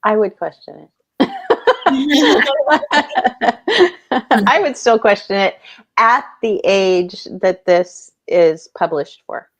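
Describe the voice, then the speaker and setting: A woman's voice: medium pitch, big pitch swings, very slow, pauses often. A woman giving matter-of-fact, opinionated views as a panelist in a casual book discussion.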